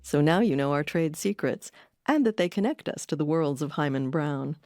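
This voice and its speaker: A woman's voice: sits low, normal speed, a little mellow, no room sound, studio quality, faint music behind. A woman reading scripted narration as the host of a documentary podcast.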